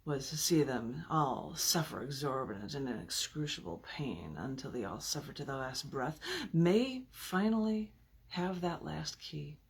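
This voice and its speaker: A woman poet with a husky, gravelly voice, reading her work theatrically. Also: deep voice, lively intonation, unhurried. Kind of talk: dramatic poetry reading with casual asides.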